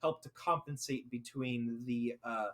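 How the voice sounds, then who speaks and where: A man with a mid-range voice hosting a relaxed chat. He rambles through his points with plenty of fillers and restarts before getting them out. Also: mid-range voice, unhurried, over a noisy crowd. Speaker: podcast host. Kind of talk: casual chat.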